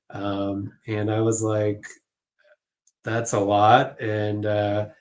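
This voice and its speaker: A man's voice: lowish voice, a little mellow. A man answering interview questions as a guest, conversational, reflective and a little hesitant. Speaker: guest clinical social worker.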